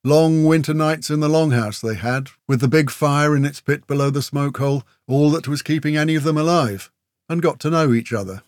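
A man's voice: a little high, brisk, darkish tone, dry recording, pitched above the performer's own voice, lightly acted. Cheeky, talkative old-tale warrior, done by a male narrator: folksy, breezy, matey; fantasy audiobook, dry sound. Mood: storytelling. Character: breezy, chatty male kinfolk warrior and teasing storyteller. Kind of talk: fantasy audiobook character dialogue.

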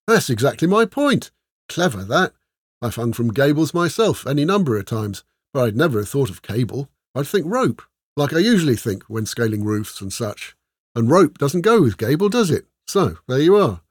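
A man voicing a chatty, excitable male thief who rambles eagerly in colloquial speech. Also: medium pitch, fairly quick, no room sound, studio quality, voice pushed up, close to an everyday voice.

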